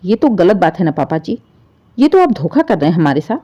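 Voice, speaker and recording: A woman's voice: lowish voice, very animated, fairly quick, warm tone. A woman voicing a greedy daughter-in-law in a moral story, indignant and argumentative, with pointed questions. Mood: scornful, demanding. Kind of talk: dramatised Hindi moral story. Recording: dull, muffled lo-fi audio.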